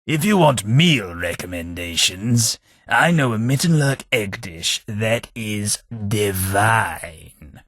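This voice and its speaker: A posh sardonic ghoul gentleman. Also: fairly low pitch, lively intonation, takes it slowly, no echo, heavily acted. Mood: wry. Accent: English. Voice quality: plummy, dry, sardonic. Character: posh ghoulish male English gentleman companion, wry. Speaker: game companion. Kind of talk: game voice lines.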